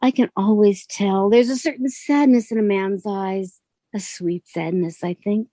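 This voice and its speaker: An actress playing a gentle, lonely bookshop woman, earnest and shyly admiring, in a radio suspense drama. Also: medium pitch, measured pace, dry recording, natural voice. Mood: wistful, tender. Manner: soft-spoken, earnest, flattering.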